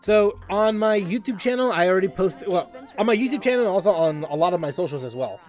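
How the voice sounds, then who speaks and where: A man with a high-pitched voice talks casually as he streams a game. His delivery is halting, with phrases often repeated or started over. Music plays behind him. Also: lively intonation, a little mellow. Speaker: livestream host, gamer. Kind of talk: casual livestream chat while gaming.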